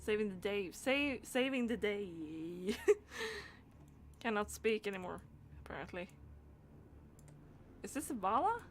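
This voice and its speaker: A woman's voice: mid-range voice, lively intonation, slow, long pauses, a little hiss or hum. A woman doing casual live game commentary, rambling and giggly as she reacts aloud to what happens on screen. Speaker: game streamer.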